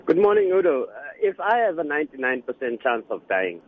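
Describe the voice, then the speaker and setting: A man's voice: fairly high pitch, big pitch swings, fairly quick. A man with a South African accent phoning in to a radio show to give his opinion conversationally. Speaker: phone-in caller. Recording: thin narrow telephone line.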